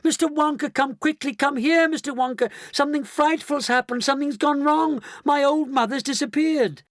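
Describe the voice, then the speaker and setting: Man putting on a shrill, hysterical wailing woman. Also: moderate pace, fairly bright tone, no echo, studio quality. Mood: panicked wailing. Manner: wailing, frantic. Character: hysterical shrieking middle-aged woman, panicked daughter.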